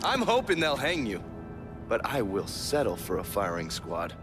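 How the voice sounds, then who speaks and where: An actor playing a confident, sardonic American intelligence agent who swears freely in a video game. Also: male voice, lowish voice, huge pitch swings, normal speed. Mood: coolly menacing. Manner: sardonic, profane. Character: wry, foul-mouthed American intelligence agent, a confident adult man. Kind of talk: cinematic video game acting. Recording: music behind the voice.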